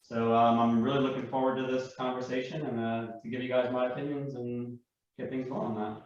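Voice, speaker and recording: A man's voice: mid-range voice, little pitch movement, a little fast. A man talking casually as a guest on a panel. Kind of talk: casual self-introduction. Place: indoor room shared with others. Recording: very echoey, distant, dull.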